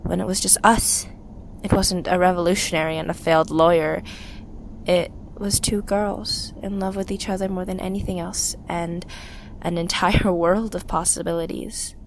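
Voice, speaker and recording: A woman's voice: lowish voice, fairly even intonation, a little hiss or hum, natural voice. Woman playing a subdued, grieving young revolutionary. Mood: tender, longing. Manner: wistful, halting, confessional. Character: weary, grieving young woman, failed lawyer turned revolution survivor. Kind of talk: intimate audio drama monologue.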